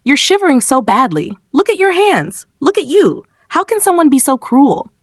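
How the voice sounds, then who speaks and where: Kind gentleman for a storybook tale, read by a woman, gentle, concerned, protective, closely recorded. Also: high-pitched, sing-song, normal speed, no echo, studio quality, pitched above the performer's own voice. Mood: worried. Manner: soft, worried, protective. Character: kind, concerned well-dressed man, a compassionate stranger.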